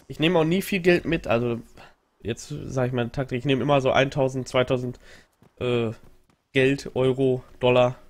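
A young man with a stuffy nose from a cold, rambling casually and thinking aloud while he streams a game on a headset mic at home. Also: medium pitch. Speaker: livestreaming gamer. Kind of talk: casual let's play commentary. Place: home gaming setup indoors.

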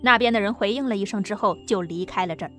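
A woman's voice: medium pitch, fast, a bit bright. A woman narrating a novel as an audiobook, reading a dramatic script. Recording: music under the voice.

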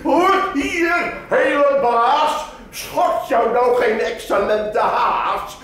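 Guy doing a shrill old market wife yelling her poultry, super echoey and far off. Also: very slow, exaggerated voice. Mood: coaxing. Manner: hawking, coaxing customers. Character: loud brassy old-time poultry market woman. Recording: roomy distant echo.

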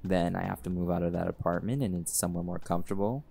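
A man's voice: low voice, fast, gravelly. A man voicing a chill flirty jaguar shifter. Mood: laid-back. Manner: easygoing, teasing, relaxed drawl with laughs. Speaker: voice actor in audio roleplay. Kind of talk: audio roleplay, speaking to listener.

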